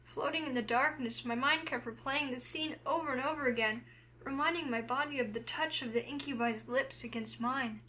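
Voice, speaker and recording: A woman's voice: sits high, moderate pace, a little hiss or hum, poor audio. A woman voicing a flustered, wary young heroine who narrates a visual novel game in the first person. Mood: uneasy, reflective. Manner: expressive, flustered.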